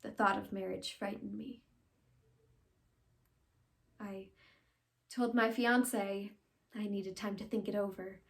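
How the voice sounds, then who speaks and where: A woman acting an earnest, conflicted young woman in a halting, pleading stage monologue of confession and farewell. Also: medium pitch, steady tone, vocal fry. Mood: wistful, confiding. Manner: halting, pleading, with long pauses and hushed phrases.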